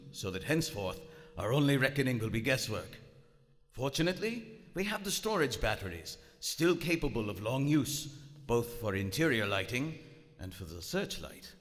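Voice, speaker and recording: A proud, cold German U-boat captain, played by a man in a live radio play. He is stern and formal, with a measured delivery that still swings widely in pitch. The sound rings in a big theater hall. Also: fairly bright tone. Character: proud, cold German WWI submarine captain, narrating his log. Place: on stage in a large theater. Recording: echoey theater hall, live stage recording.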